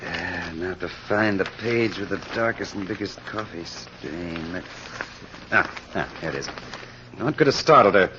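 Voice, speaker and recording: Low-voiced shrewd American sleuth. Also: male voice, lively intonation, unhurried, noisy background. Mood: musing. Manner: probing, musing, assured. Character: shrewd, persistent male amateur sleuth questioning suspects. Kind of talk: old-time American radio crime drama acting. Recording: dull lo-fi old radio recording.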